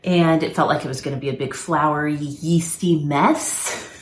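A woman's voice: low voice, fairly even intonation, moderate pace, slight background noise. A woman co-hosting a podcast, telling home stories in a casual, self-deprecating way and laughing easily. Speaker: podcast co-host. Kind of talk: casual chat. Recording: echoey room.

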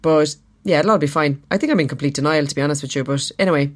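An Irish woman with a deep voice, co-hosting a podcast in a chatty, colloquial and wryly self-deprecating way. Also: racing. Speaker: podcast co-host. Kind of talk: casual chat.

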